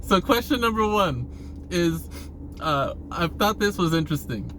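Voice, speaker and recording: A man's voice: high voice, big pitch swings, measured pace, a bit bright, slight background noise. A man talking casually in a rambling monologue, hesitant and often restarting his phrases, as a vlogger posing questions.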